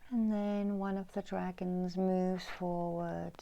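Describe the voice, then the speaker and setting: A middle-aged woman softly whispers an ASMR guided meditation in a breathy, soothing, deliberate way with long pauses. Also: on the low side, little pitch movement, highs cut off. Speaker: reiki healer guiding a meditation. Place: indoors, small room.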